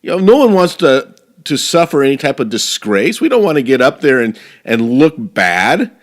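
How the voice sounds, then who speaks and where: A man's voice: mid-range voice, very animated, moderate pace. A man giving an earnest speech to a speaking club, often restarting his phrases. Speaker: professional speaker.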